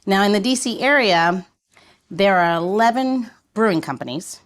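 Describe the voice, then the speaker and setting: A woman's voice: mid-range voice, animated, moderate pace. A woman hosting a podcast, introducing the topic and guest in a chatty, conversational style. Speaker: podcast host.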